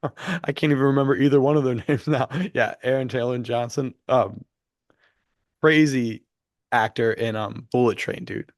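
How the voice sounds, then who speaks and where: A man's voice: mid-range voice, steady tone, moderate pace, dry recording, studio quality. A man co-hosting a podcast, chatting in an enthusiastic, slangy and jokey way, often saying "dude". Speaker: podcast co-host. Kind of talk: casual enthusiastic chat.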